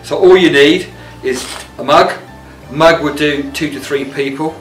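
A man's voice: a little high, measured pace, a bit bright, recorded from a distance. A middle-aged man giving a casual cooking demonstration as a chef, plain-spoken and informal, recorded indoors in a kitchen. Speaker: chef and cookery teacher. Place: indoor commercial kitchen with steel surfaces. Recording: roomy, off-mic sound with music.

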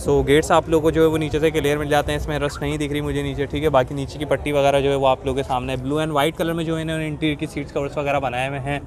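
A man's voice: a little high, little pitch movement, rapid-fire, hardly any pauses, slight background noise. A man, hosting a car market vlog in a repetitive, explanatory patter. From Pakistan. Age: 20s. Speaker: host, car market reviewer. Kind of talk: vlog walkthrough and short interviews. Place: outdoor open car market. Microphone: phone at arm's length. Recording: busy outdoor street market chatter.

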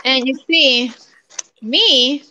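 Puerto rican lady crafting on a video call, high bright voice, chatty and laughing at herself. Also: drawn-out words, slight background noise. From Puerto Rico. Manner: chatty, self-mocking, laughs often. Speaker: craft show co-host. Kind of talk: casual chat while crafting. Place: home craft table, indoors.

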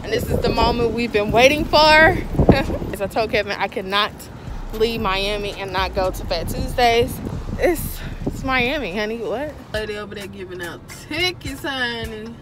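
A young American woman chatting casually and playfully to a vlog, excitable and stretching out her words. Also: fairly high pitch, normal speed, heavy background noise. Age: young adult. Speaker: vlogger. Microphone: handheld phone at arm's length.

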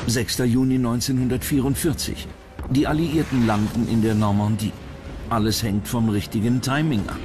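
A man's voice: mid-range voice, fairly even intonation, normal speed, music in the background. A man reading scripted narration as the narrator of a countdown documentary.

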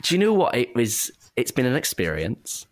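A man's voice: medium pitch, wildly swooping pitch, normal speed, no echo. A man, an actor and director, chatting casually in a rambling way and correcting himself as he goes. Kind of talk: casual cast chat.

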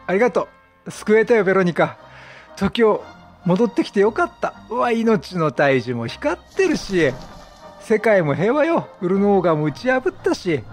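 A chatty man commenting casually on a video game. His voice is high and swings widely in pitch as he teases and reacts, with light game music behind him. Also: sing-song, normal speed. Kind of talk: casual let's play commentary.

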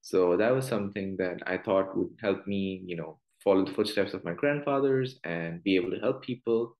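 A man's voice: deep voice, normal speed, muffled recording. Pakistani man, echoey video call. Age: 20s. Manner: earnest, many "you know" fillers. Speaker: guest doctor. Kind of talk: casual interview answers. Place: small room at home.

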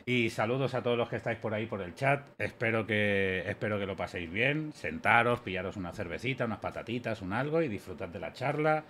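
A man's voice: on the low side, expressive, a little fast, few pauses, a bit bright, a little hiss or hum. Slangy man hosting a stream. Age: late 30s. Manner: loose, slangy and chummy, with repeated words. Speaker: podcast host. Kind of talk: casual chat. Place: home streaming room.

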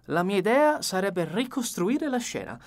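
Man putting on a fussy, excitable little detective. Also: high-pitched, unhurried, voice pushed up, full character voice. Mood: courteous, eager. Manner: theatrical, courteous, precise. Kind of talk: audiobook character reading.

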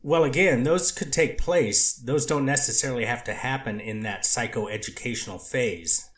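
A man's voice: medium pitch, moderate pace, close to an everyday voice. Guy reading a careful therapist witness, lots of restarts, echoey. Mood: cautious testimony. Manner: hesitant, restarts, clinical wording. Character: cautious male treatment counselor on the stand. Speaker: witness, treatment counselor. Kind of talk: courtroom transcript reading.

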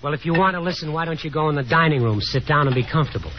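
An actor playing a wry, sardonic young American son who also narrates, in a dry manner, in a 1950s radio drama. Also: male voice, on the high side, rapid-fire, a little hiss or hum, lightly acted. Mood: teasing. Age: young adult. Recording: muffled 1950s radio recording with music.

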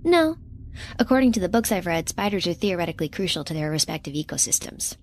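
A woman's voice: on the low side, big pitch swings, fast. An actress playing an earnest, literal-minded young woman researcher in a sci-fi audio drama, speaking conversationally and a bit hesitantly. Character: earnest, awkward young woman researcher defecting from corporate ship. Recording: clear ambient noise behind.

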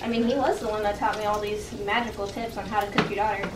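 Woman as a deadpan killer animatronic, distant and noisy. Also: mid-range voice, fast talker, reverberant, lightly acted. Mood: deadpan, morbid. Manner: deadpan, taunting, morbid. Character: deadpan, morbid killer animatronic rabbit, taunting and blunt. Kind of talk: improvised cosplay role-play skit. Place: home kitchen and dining room. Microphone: camera at a distance. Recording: distant camera audio, noisy home room.

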